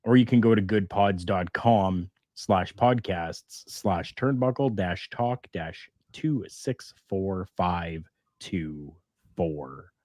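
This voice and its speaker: A man's voice: deep voice, takes it slowly, darkish tone, no echo, clean studio sound. A man hosting a wrestling podcast, chatting in an easygoing way with fillers and restarts. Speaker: podcast host. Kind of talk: casual wrestling podcast chat.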